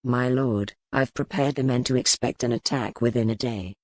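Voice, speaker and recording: A man's voice: mid-range voice, fairly even intonation, moderate pace, no echo, natural voice. A man playing a grim, loyal medieval man-at-arms in an audiobook, formal and sober as he addresses his lord. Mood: grim, dutiful. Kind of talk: dramatized audiobook dialogue.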